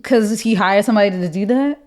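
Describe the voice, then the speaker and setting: A young woman with a deep voice, co-hosting a casual podcast chat, animated and full of fillers. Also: fairly quick. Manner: animated, lots of "like", mocking voices. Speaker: podcast co-host.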